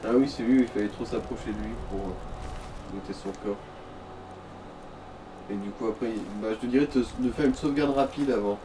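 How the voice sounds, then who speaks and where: A man's voice: lowish voice, a little fast, long pauses. A man in casual, slangy gameplay chat, giving advice to the player. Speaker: gaming companion giving advice. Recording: noisy, distant mic, game ambience.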